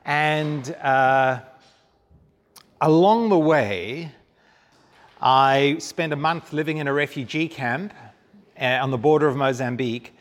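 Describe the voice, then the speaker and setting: A man from Zambia, a guest speaker telling his life story with self-deprecating humor in an onstage interview. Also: fairly high pitch. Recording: long hall reverb.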